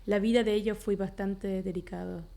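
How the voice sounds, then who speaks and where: A woman in her thirties from Germany speaks as a missionary guest on a radio interview. Her tone is mellow with a gravelly edge and a mid pitch. She answers in a slightly halting way, often closing her phrases with a tag question. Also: steady tone. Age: 30s. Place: radio studio.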